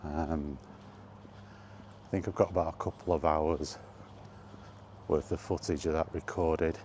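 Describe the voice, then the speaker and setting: Man, mellow bass voice, slow pace, casual rambling chat, hesitant, faint outdoor noise. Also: fairly even intonation. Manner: hesitant, meandering, thinking aloud. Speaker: gaming content creator and podcaster.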